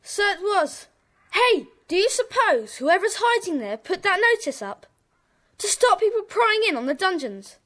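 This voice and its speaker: Impatient, very high-voiced child. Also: female voice, very animated, normal speed, fairly bright tone, full character voice. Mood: curious. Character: impatient, hot-tempered child in a secret club. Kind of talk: children's audio drama acting.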